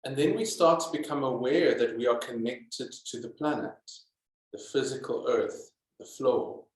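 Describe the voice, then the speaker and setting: A man teaching yoga and guiding meditation in a calm, deliberate manner with many pauses. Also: medium pitch. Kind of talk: guided yoga and meditation instruction. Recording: echoey, roomy sound.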